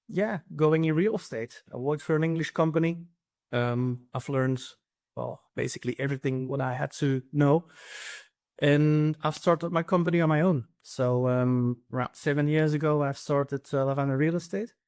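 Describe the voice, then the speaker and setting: A man's voice: fairly high pitch, clean tone. A middle-aged man from Belgium with a Flemish accent, answering interview questions casually with lots of ums and restarts. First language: Dutch. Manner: hesitant, many ums and restarts. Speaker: real estate agency CEO.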